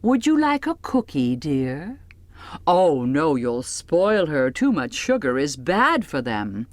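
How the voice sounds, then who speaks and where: A woman's voice: low voice, very animated, slow pace, no echo, heavily acted. Woman putting on a sugary, patronizing matronly wife. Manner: falsely kind, genteel, condescending.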